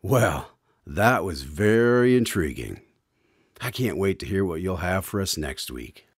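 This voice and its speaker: A man's voice: low voice, animated, measured pace, husky, no room sound, studio quality. A man hosting a scripted radio drama in an old-style presenter's manner. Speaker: radio show host.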